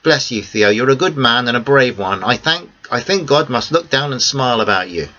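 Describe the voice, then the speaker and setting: A man's voice: mid-range voice, lively intonation, normal speed, bright, forceful tone. A man reading the part of a determined young woman, speaking firmly and earnestly to friends and family. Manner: firm, earnest pleading. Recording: dull lo-fi recording.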